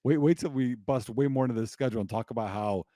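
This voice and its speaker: A man co-hosting a casual sports podcast, hyped and quick to agree, often restarting his sentences. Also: medium pitch, mellow, no room sound, clean studio sound. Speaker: podcast co-host.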